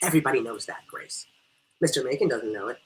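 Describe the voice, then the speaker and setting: A woman voicing a reserved, mildly prim small-town woman with a measured, firm manner in a storybook reading. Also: a bass voice, animated, slight background noise, voice pushed down, rough recording. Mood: weary.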